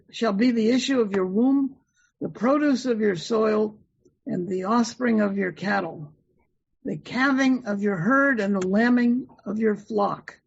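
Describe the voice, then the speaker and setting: A participant reads scripture aloud with a gravelly, husky voice and a steady, measured delivery. The sound is distant and muffled, as over a video call. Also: mid-range voice. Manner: measured reading. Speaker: class participant reading aloud.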